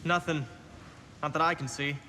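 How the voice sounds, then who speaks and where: A man's voice: fairly high pitch, fairly even intonation, moderate pace, sharp tone, slight background noise. A man playing a casual, doubtful young guy in a video game, wry and offhand. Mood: doubtful, grumbling. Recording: long hall reverb, faint music.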